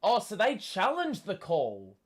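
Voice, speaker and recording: A young man hosting a live basketball watchalong, swearing often and yelling at big plays, his voice going hoarse and cracking. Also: sits high, wildly swooping pitch, measured pace. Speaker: host.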